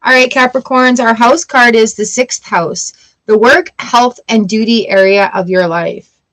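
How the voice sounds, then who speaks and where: A woman's voice: fairly high pitch, a little slow, fairly bright tone, poor audio. A woman doing a casual tarot reading, reading card texts aloud and breaking off into playful asides. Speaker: tarot reader.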